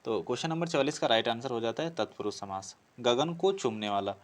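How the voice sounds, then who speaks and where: A man's voice: medium pitch, expressive, a little fast. Man teaching through practice questions. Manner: explanatory, repeats phrases, poses questions and answers them. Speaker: teacher for competitive exam Hindi grammar. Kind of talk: classroom lesson working through practice questions.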